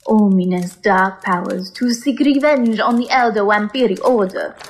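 A woman's voice: medium pitch, normal speed, close to an everyday voice. An actress playing a seductive, ominous gothic vampire lady in an audio drama, formal and theatrical.

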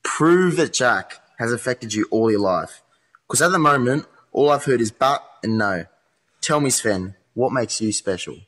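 A man's voice: mid-range voice, animated, a little slow, a bit bright. A man playing a hardened, skeptical police interrogator in a modern radio play, speaking bluntly and insistently.

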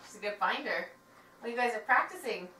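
A woman's voice: fairly high pitch, animated, brisk. A woman saying goodbye to her children before heading to work, chatting casually and laughing often in a home kitchen. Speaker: mother leaving for work. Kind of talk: casual family chat. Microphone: camera resting on a counter, far off. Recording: roomy, distant, loud background noise.

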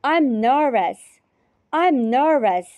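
A woman teacher repeating short phrases slowly and carefully in a repetition drill. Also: high-pitched, lively intonation, dry recording, phone-quality sound. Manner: repeats each phrase three times, carefully.

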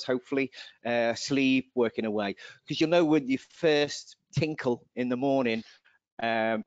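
A man's voice: medium pitch, dry recording. A man giving rambling, chatty answers on a webinar, his voice slightly going. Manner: chatty, rambling, laughs at own jokes. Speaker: nutrition presenter. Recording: dull dry video call audio.

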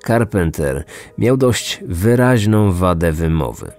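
Low-voiced man narrating true crime. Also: lively intonation, slow pace, a little mellow. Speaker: podcast host and narrator. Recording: music behind, some reverb. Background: music.

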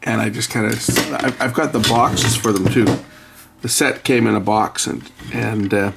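Gravelly middle-aged man at a workbench. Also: on the low side, steady tone, normal speed. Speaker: repair video host. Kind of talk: casual hands-on repair commentary. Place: indoor electronics workbench. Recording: faint background noise with clicking.

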